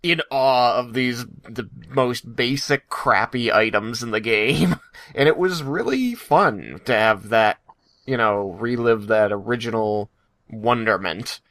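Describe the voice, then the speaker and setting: A man co-hosts a casual podcast in a mid-pitched, bright voice. He talks in a jokey, sarcastic way. The sound is dry, with no room on it. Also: takes it slowly, no room sound. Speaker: podcast co-host. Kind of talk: casual chat.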